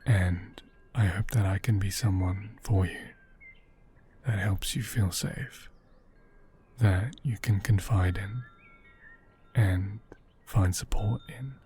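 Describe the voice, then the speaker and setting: A man acting as a gentle, protective male caretaker in an ASMR roleplay. His voice is low and mellow, breathy and often whispered. He speaks very slowly, with tender, soothing reassurance. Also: slight background noise. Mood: reassuring. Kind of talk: soft-spoken ASMR roleplay, partly whispered.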